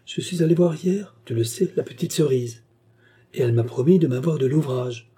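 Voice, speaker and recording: Guy doing a sweet young lady from a storybook, coaxing n affectionate, dark husky voice, a bit lifted. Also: mid-range voice, normal speed, pitched above the performer's own voice. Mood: grave, reproachful. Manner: caressing, coaxing. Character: gentle young gentlewoman, affectionate, coaxing, quietly determined. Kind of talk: audiobook character dialogue.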